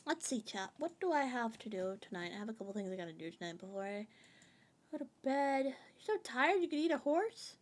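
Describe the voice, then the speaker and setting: A woman's voice: on the high side, sing-song, brisk. A woman streamer chatting casually with her viewers, rambling and joking in a playful, sing-song way. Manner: rambling and jokey, reading chat aloud.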